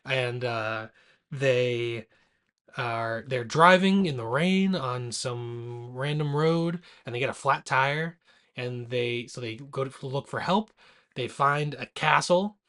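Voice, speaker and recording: A man's voice: mid-range voice, slow pace, fairly bright tone, clean tone. A man co-hosting a podcast, chatting casually about movies in a dry, teasing way. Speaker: podcast co-host.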